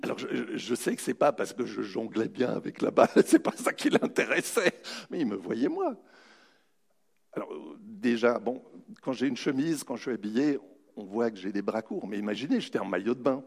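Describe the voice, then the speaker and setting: A man giving a humorous, anecdotal motivational talk, telling his story with dramatic pauses. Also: mid-range voice, lively intonation, creaky, slightly rough. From raised bilingual French-German, in a French-German family. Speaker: motivational speaker. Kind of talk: anecdotal public talk to an audience.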